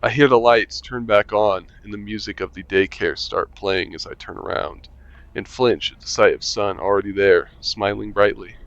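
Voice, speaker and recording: A man reading a visual novel aloud as a gamer, voicing narration and the player in a relaxed, wry way and muttering while he plays. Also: lowish voice, moderate pace, gravelly, no room sound. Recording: lo-fi mic with faint music.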